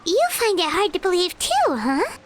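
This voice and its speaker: A woman voicing a tiny, excitable, curious childlike fairy companion with a squeaky voice in a video game. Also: normal speed, background noise. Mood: curious, puzzled. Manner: exclamatory, speaks of self in third person. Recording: loud game music behind.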